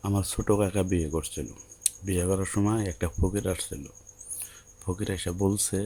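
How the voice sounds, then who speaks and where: Casting: male actor as dutiful young groom, Bangladeshi accent, very deep mellow voice, placating and hesitant, audio romance drama. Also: a little hiss or hum. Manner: low, subdued, hesitant, placating. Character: hesitant, dutiful young bridegroom soothing his new bride. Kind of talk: Bengali audio story acted as a phone conversation.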